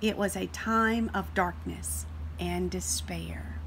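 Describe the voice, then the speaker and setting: A woman reading a children's picture book aloud in an expressive storytelling style, acting out the dialogue. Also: fairly low pitch, a little hiss or hum, low-quality recording. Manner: measured, acts out dialogue.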